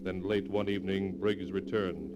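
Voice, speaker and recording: A man giving solemn, dramatic, measured narration in the style of a 1940s radio mystery. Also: deep voice, fairly even intonation, lo-fi sound, very noisy. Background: loud dramatic music.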